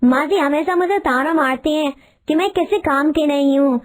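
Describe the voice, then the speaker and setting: Cartoon young wife, dutiful and earnest, extremely high voice female actor. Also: normal speed, natural voice. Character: eager, dutiful young wife and her pleading daughter. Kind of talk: cartoon story character acting.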